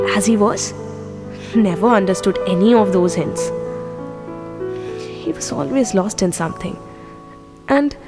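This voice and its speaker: An actress with an Indian English accent playing a young woman remembering an old friend, musing softly to herself. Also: mid-range voice, natural voice. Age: young adult. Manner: soft, musing inner monologue. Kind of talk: audio drama acting with inner monologue.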